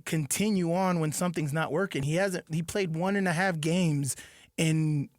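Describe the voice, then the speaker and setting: A man who played the sport, co-hosting a sports radio show and chiming in with quick agreement and emphatic repeats. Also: on the high side, no room sound, studio quality. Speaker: former player turned radio co-host. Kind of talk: casual sports talk.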